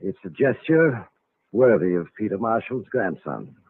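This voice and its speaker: A man's voice: lowish voice, lively intonation, measured pace, husky, faint music behind, exaggerated voice. A man playing a suave, sinister, coolly mocking figure in a vintage radio mystery. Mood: coolly probing. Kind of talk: 1940s radio mystery acting. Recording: noisy, tinny vintage radio recording.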